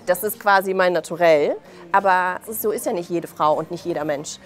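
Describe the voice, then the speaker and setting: A woman's voice: lowish voice, a little fast. A woman in her thirties from Belarus speaking as an interview guest in an impassioned, colloquial way, often acting out quoted remarks. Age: 30s. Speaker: interview guest, author and journalist. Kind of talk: impassioned spoken interview answers.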